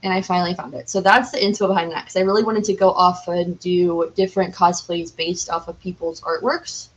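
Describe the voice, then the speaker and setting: A woman's voice: fairly low pitch, level tone, brisk, muffled recording. A woman speaking as a podcast guest in an informal, enthusiastic, chatty way. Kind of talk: casual chat.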